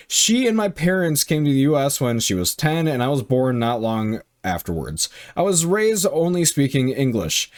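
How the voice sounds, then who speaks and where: A man's voice: mid-range voice, darkish tone. A young man reading online stories aloud and reacting bluntly, with frequent swearing. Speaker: reaction video host.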